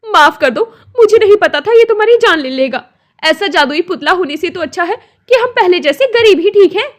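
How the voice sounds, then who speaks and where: Woman acting a greedy village wife, very high-pitched, swooping, sharp-tongued, dramatic cartoon delivery. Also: lively intonation, brisk. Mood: panicked, sorry. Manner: taunting, dramatic. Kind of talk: Hindi moral-story cartoon dub.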